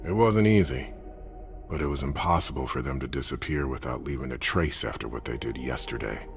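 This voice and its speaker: A man's voice: very deep voice, brisk, vocal fry, soft background music. An actor playing a sober male intelligence tracker in a video game, giving grave, matter-of-fact reports. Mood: sober, reporting. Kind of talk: dramatic video game acting.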